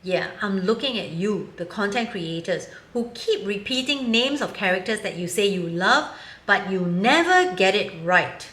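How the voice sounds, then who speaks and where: A woman speaks at a middle pitch, candid and wry as she lays out her opinion. Her voice sounds roomy, with a noticeable echo around it. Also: lively intonation, normal speed, fairly bright tone, a little hiss or hum. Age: 50s. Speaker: vlogger. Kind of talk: opinion commentary vlog.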